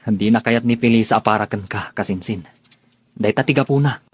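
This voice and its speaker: A lovesick young man of radio drama, played by an actor, with a medium-pitched, husky voice. He speaks in an earnest, questioning way, close and dry. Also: fairly quick, no echo. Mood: longing, worried.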